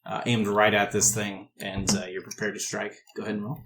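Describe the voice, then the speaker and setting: A man's voice: lowish voice, little pitch movement, brisk, a bit bright, slight background noise. A man improvising descriptive narration as game master of a tabletop game.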